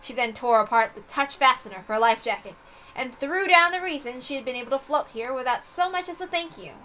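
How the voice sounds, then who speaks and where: A woman's voice: high voice, fast, noisy background. A woman reading a visual novel aloud as dramatic scripted narration.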